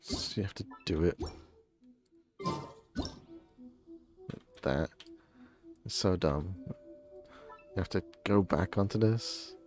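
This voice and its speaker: Low-voiced woman streaming a video game. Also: slow pace, long pauses. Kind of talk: casual live gameplay commentary. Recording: game music behind the voice. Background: video game music.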